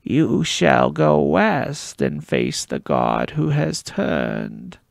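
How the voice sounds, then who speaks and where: An ancient oracle spirit speaks slowly in a raspy, slithering rasp that seems to drift from a distance, as a male narrator puts it on. The voice rises high and falls low in eerie swoops. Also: wildly swooping pitch, dry recording, clean studio sound, heavily acted. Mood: ominous.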